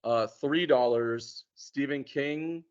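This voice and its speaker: A man in casual conversation, talking in a hesitant, halting way and often repeating words. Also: mid-range voice, clean tone. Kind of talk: casual chat. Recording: muffled, dull lo-fi sound.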